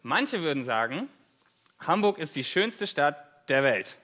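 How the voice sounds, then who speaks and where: A man's voice: a little high, sing-song, measured pace, bright, forceful tone. German man preaching conversationally. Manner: conversational, self-deprecating humor. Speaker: guest preacher. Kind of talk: sermon.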